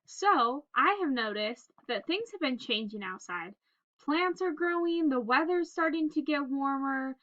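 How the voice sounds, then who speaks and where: Woman, children's read-aloud host, high voice, bright tone, expressive storytelling, dull lo-fi recording. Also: unhurried. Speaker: read-aloud host reading a picture book.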